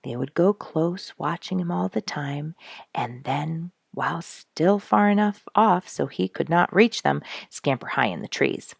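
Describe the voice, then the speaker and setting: A woman reading a children's animal story aloud in an expressive, dramatic storyteller's manner. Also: deep voice, measured pace, gravelly, slightly rough, no room sound, studio quality. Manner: dramatic, rhetorical questioning delivery.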